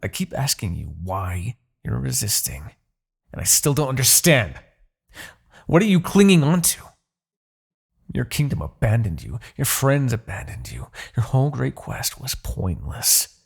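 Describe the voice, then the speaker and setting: A man's voice: mid-range voice, wildly swooping pitch, measured pace, creaky, husky, full character voice. A man playing a smug, possessive demon king in an ASMR roleplay, speaking with haughty, theatrical formality. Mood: frustrated, exasperated. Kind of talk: ASMR roleplay, dramatic character acting.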